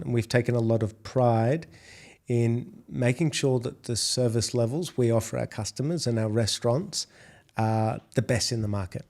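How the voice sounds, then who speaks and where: Australian man, middle-aged, warm mellow tone, measured business interview answers. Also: mid-range voice. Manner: measured, repeats words when restarting. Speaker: podcast guest, food delivery executive.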